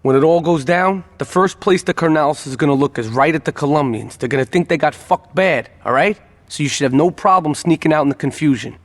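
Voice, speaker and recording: Dry, higher-voiced gang member. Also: male voice, a little fast, long reverb tail. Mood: dry, casual. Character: skeptical, laconic gang member man. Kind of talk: video game voice acting.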